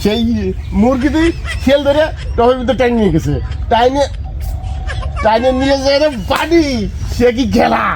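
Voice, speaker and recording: A man playing a compulsive, excitable village storyteller in a comedy skit, insistent and repetitive, with a rural Bangladeshi Bengali accent. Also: very high voice, moderate pace. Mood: boastful, animated. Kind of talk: comedy skit acting with colloquial dialogue. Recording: clearly noisy recording with clicks.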